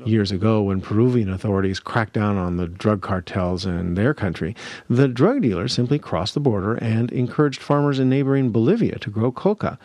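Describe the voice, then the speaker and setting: A male radio reporter narrating a scripted news feature in a measured, lively delivery. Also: lowish voice.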